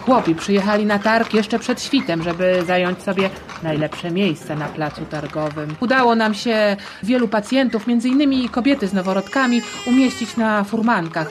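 A woman's voice: medium pitch, normal speed, background noise, pitched below the performer's own voice, lightly acted. Woman acting a sombre old male doctor remembering. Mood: moved, shaken. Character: elderly male hospital doctor recalling the bombing, dutiful, shaken. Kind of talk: acted radio interview in an audio drama.

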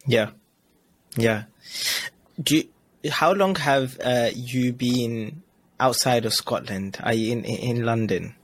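A man hosting a podcast speaks in a casual, easygoing way. He agrees readily and drops quick interjections while his guest talks. His voice sits at an everyday middle pitch. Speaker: podcast host.

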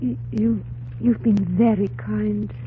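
A woman's voice: medium pitch, level tone, slow, close to an everyday voice. An actress playing a frightened but proud young runaway servant girl in an old-time radio drama, earnest and dramatic. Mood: softened, shy. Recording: narrow, noisy old radio recording.

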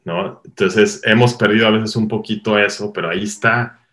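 A middle-aged Mexican man with a Mexican accent, a guest filmmaker talking animatedly in a casual interview, full of quick asides. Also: lowish voice. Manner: animated storyteller, quick asides, lots of "o sea".

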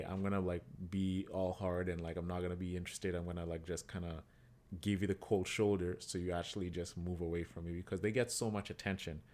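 A man co-hosting a podcast, talking casually and animatedly, with lots of "like" and repeated words. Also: deep voice. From Kenyan heritage. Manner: animated, repeats himself, heavy use of "like". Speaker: podcast co-host.

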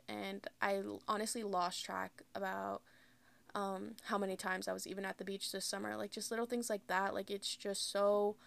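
Young woman, flat creaky casual chat. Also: medium pitch. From United States. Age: about 20. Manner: candid, rambling, heavy use of "like". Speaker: podcast host. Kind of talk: casual solo podcast talk.

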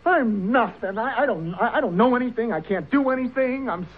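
A man's voice: very high-pitched, expressive, brisk, husky, full character voice. An actor playing a timid, self-loathing young man cowed by his father, hesitant and deferential, in a radio drama. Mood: anguished. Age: young adult. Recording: tinny, noisy old radio broadcast.

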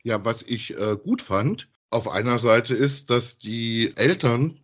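A man's voice: medium pitch, lively intonation, slow, breathy edge. A man co-hosting a podcast, chatting casually and with some amusement as he reviews audio dramas. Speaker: podcast co-host.

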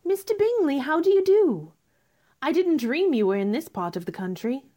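Woman playing a high-voiced, spirited young lady. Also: big pitch swings, normal speed, mellow. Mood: pleasantly surprised. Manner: polite but firm, pointed. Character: spirited, self-possessed young woman, stubborn and politely defiant. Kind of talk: radio theater acting.

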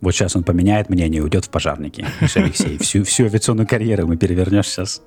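Very deep-voiced, quick-talking man. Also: darkish tone, slightly rough, soft background music. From Russia. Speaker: podcast co-host. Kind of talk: casual podcast chat.

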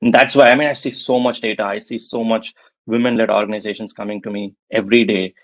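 A man's voice: lowish voice, level tone, fast, dry recording. Hesitant man with dry close sound. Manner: hesitant, many fillers and restarts. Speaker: venture capital guest. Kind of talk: conversational interview answer.

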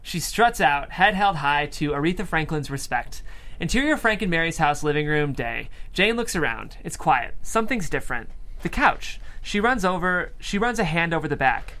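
Male stage-direction reader, fairly high, gravelly edge, scripted narration. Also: lively intonation, moderate pace, pushed and bright. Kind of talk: scripted screenplay narration at a table read.

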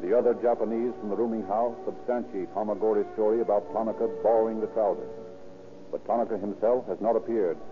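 A man's voice: fairly low pitch, fast talker, over music. An American man narrating a scripted old radio crime drama. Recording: tinny old radio recording.

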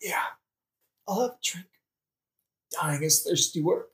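A man's voice: high-pitched, animated, slow, fairly bright tone, full character voice. A man playing a dying young prince, sarcastic and crude, with slangy, melodramatic delivery in a modern comedic audio play. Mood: weary, dying. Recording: roomy, echoing recording.

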